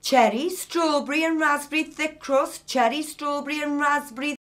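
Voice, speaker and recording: A woman's voice: high voice, normal speed, fairly bright tone, voice pushed up. A woman voicing a frank, teasing woman friend in an audiobook, affectionate but blunt and chiding. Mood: accusing.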